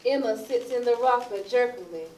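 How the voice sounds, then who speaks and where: A woman's voice: on the high side, brisk, darkish tone. Woman narrating, distant and echoey. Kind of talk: scripted narration of stage directions. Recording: echoey, distant, noisy stage recording.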